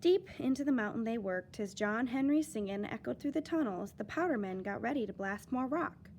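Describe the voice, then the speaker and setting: Woman teacher, story read-aloud; lively, dramatic emphasis, slightly high voice, faint noise behind. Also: brisk. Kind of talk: children's picture book read-aloud.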